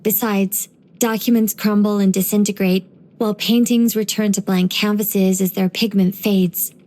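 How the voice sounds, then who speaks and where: A woman voicing a gentle, wise young angelic woman in game voice lines, soft and wryly musing. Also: mid-range voice, steady tone, normal speed, close to an everyday voice.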